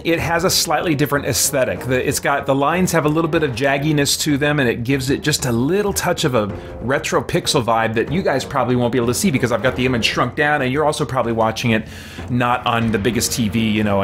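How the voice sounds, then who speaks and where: A man's voice: mid-range voice, fairly quick, fairly bright tone, faint music behind. Casual man rambling through game commentary. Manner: rambling, thinking aloud. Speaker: gaming show host. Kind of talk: casual let's-play commentary. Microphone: microphone with a fan nearby.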